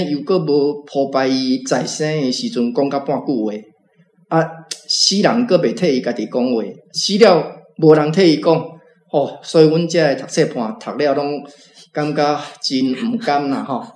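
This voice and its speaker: A man's voice: mid-range voice, darkish tone. A man with a Taiwanese accent giving spoken commentary as a reading club guest, explaining with many sentence particles. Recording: roomy echo with faint music.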